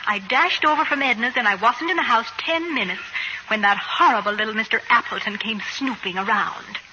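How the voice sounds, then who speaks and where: An actress playing a spirited, loyal suburban housewife in old radio comedy, quick to tease or bristle. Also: female voice, fairly high pitch, close to an everyday voice. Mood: indignant. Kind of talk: 1940s radio comedy acting. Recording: slightly noisy old radio broadcast.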